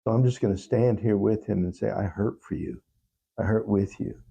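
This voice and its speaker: Very dark, gravelly, husky man. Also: fairly low pitch, dull audio. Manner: halting, earnest. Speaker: talk show guest, songwriter. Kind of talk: reflective personal storytelling in an interview.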